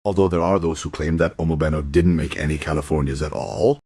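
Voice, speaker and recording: An actor playing a smug, suave and evasive violin dealer and smuggler in a radio play. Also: male voice, rumbling low, steady tone, fast talker, dark tone, husky, dry recording, clean studio sound. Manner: suave, self-assured explaining.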